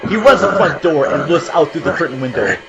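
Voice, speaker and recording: A man's voice: on the high side, expressive, fast talker, creaky, highs cut off. A man giving grave, theatrical scripted narration for a horror audio drama. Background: loud music and sound effects.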